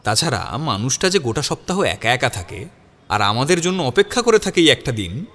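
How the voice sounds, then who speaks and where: A man's voice: mid-range voice, very animated, fairly quick, fairly bright tone, big-room sound. A man narrating a scripted audio story in the first person, dramatising it as he goes.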